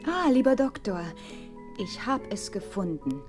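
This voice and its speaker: An actress playing a gentle, emotionally moved young lady in a German audio drama. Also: mid-range voice, sing-song, measured pace, over music.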